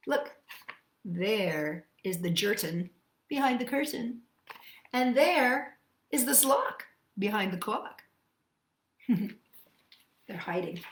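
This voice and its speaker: A woman hosting children's storytime, reading picture books aloud and chatting to young listeners in a playful, expressive, sing-song manner. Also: medium pitch, roomy sound.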